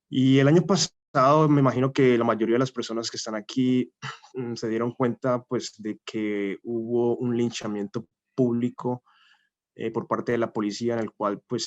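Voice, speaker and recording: A man giving an academic talk as a guest lecturer, speaking in a measured, hesitant way with many "eh" fillers. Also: on the low side. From Colombia. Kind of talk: academic talk with a personal story. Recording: muffled lo-fi audio.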